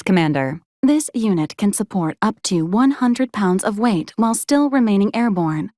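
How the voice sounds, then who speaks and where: Actress as female robot support unit, video game, officious, formal clipped delivery, deadpan, mid-range pitch, dry close sound. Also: no echo, natural voice. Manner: formal, clipped, programmed announcements in complete phrases.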